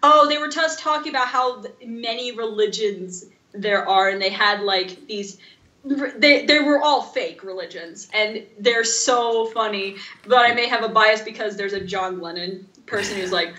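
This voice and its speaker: A woman's voice: mid-range voice, unhurried, bright, forceful tone, echoey room. A woman chatting casually as a podcast co-host. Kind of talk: casual chat.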